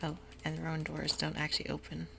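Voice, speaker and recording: Gravelly whispering woman, noisy room. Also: sits low, completely flat delivery, fast talker. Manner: soft whisper, rambling and easygoing. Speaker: YouTuber playing a game. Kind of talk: whispered ASMR gameplay commentary. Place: home room with sprinklers outside.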